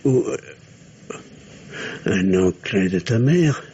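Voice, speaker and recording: A man's voice: sits low, animated, takes it slowly, dark tone, breathy edge, exaggerated voice. An actor playing a nervous, sarcastic middle-aged family man in a French radio drama, hesitant and swinging between obsequious and indignant. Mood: tender, probing. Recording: dull, noisy old radio recording.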